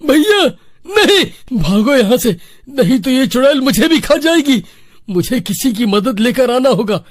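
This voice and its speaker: An actor playing a timid, anxious young brother in a cartoon story. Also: male voice, very high-pitched, sing-song, a little slow, no room sound, studio quality, exaggerated voice. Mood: panicked. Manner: anxious, pleading. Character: timid young brother-in-law, easily frightened village man.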